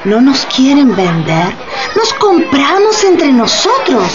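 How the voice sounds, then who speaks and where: A woman's voice: sits high, wildly swooping pitch, takes it slowly, exaggerated voice. A woman playing a defiant street protester in a radio play, colloquial and emphatic. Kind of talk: radio drama acting. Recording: dull lo-fi, noisy street ambience.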